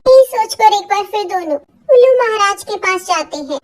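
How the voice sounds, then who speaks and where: A woman's voice: very high-pitched, expressive, normal speed. A woman narrator reading a scripted children's story.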